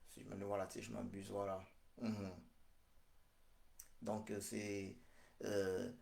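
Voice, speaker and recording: A man, giving a slow, repetitive prophetic address full of hesitations. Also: lowish voice, little pitch movement, vocal fry, darkish tone, reverberant. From Cameroon. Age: 40s. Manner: slow, repetitive, hesitant with many pauses and fillers. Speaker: political speaker.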